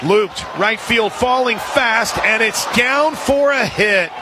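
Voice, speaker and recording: A man doing live radio play-by-play of softball, excitable, in short punchy calls from an outdoor stadium. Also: extremely high pitch, slow pace. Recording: live outdoor stadium broadcast, crowd noise. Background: loud crowd and ballpark ambience.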